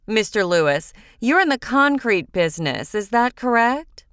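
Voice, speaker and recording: A woman playing a stern, accusing prosecutor in an animated comedy, speaking formally and deliberately. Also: a little high, clean tone, dry recording, clean studio sound. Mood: pointed questioning. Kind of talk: animated comedy courtroom dialogue.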